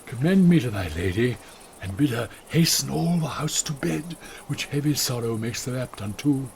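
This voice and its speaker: A man's voice: medium pitch, animated, normal speed, creaky, darkish tone, a little hiss or hum. An actor playing a stern, fatherly old friar, declaiming precisely in a classical radio Shakespeare drama. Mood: urging.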